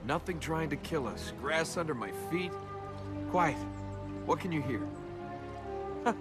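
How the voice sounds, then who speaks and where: A young man voicing a cocky, wisecracking rogue adventurer with a sarcastic, wry delivery, over loud game music. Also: on the high side, expressive, a little slow.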